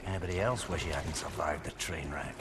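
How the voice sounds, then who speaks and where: An actor playing a gruff, cynical, foul-mouthed soldier in a video game cutscene, grumbling sardonically. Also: male voice, rumbling low, fairly quick, noisy background. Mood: sardonic grumbling. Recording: loud music over the dialogue.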